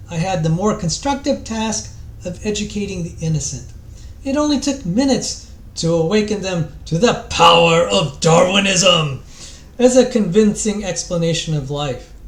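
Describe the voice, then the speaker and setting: A man giving a dramatic, theatrical reading aloud from a book, stressing his phrases emphatically. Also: sits high. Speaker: reader of a book introduction. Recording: echoey, roomy sound.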